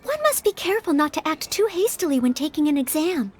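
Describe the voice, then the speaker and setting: A woman's voice: high-pitched, sing-song, fast, a little hiss or hum. An actress playing an earnest, polite young maid-knight woman in an anime-style video game, careful and sincere. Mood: thoughtful.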